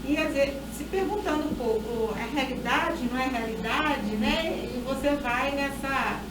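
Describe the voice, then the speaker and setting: A woman's voice: high voice, unhurried. A woman with a Brazilian accent interviewing a guest in a casual, conversational way. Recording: echoey, distant mic, noisy background.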